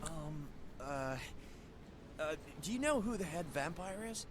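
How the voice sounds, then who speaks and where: A man's voice: on the high side, lively intonation, a little slow. An actor playing a gullible, anxious man in a video game, hesitant and naively earnest. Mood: anxious, hopeful. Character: gullible, anxious male wannabe ex-vampire. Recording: crowd murmur behind.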